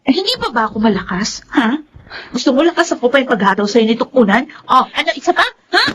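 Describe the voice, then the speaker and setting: Very high-pitched bratty Filipino girl. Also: big pitch swings, fast talker, fairly bright tone, slightly rough, exaggerated voice. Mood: defiant, bratty. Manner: whining, petulant, defiant. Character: spoiled, bratty young girl who bullies her nanny. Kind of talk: Filipino radio drama acting.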